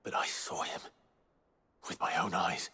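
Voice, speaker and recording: A man's voice: measured pace, bright, forceful tone. An actor playing a brooding, earnest male fantasy hero in a video game, terse, solemn and restrained. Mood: grave, intent. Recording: music behind the voice.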